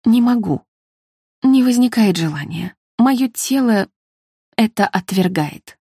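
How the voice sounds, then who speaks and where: A woman's voice: a little high, lively intonation, slow, warm tone, husky, no echo, studio quality, heavily acted. Woman reading as an earnest, troubled woman confiding. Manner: earnest, confessional.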